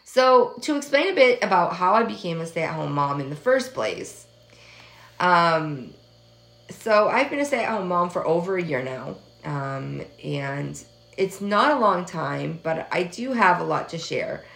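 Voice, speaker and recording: A woman in her twenties, from the United States, with a first language of French, hosts her own podcast. Her voice sits low and carries a gravelly fry as she talks in a soft, hesitant, earnest way with frequent pauses. The recording picks up a noticeably roomy, echoing space. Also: very animated. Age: 20s. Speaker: podcast host. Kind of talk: casual personal podcast monologue. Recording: echoey room.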